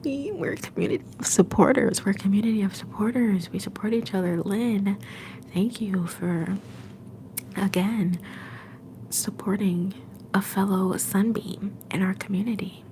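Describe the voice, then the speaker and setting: A young woman hosting a livestream from home, whispering softly and affectionately to her viewers. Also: medium pitch, normal speed, slight background noise. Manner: soft whisper, affectionate and encouraging. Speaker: livestream host.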